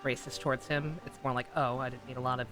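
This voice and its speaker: A man's voice: mid-range voice, level tone, quick pace. A man streaming a game and giving casual live reaction commentary. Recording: game music behind the voice.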